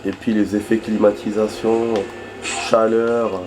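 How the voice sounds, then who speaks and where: Man, hoarse from a cold, low voice, chatty rambling gameplay commentary, noisy game audio behind. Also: flat delivery, takes it slowly. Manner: rambling, chatty, bursts of surprised swearing. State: hoarse from a cold, losing his voice. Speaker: gaming video host. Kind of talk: casual let's-play commentary. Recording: background noise and game sounds.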